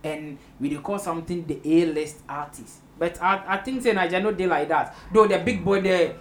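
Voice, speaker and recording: A man's voice: high voice, moderate pace. A man with a Ghanaian accent hosting a roundtable, chatting casually in Pidgin-flavoured speech and echoing what his guests say. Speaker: roundtable host. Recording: noisy room with ambient background.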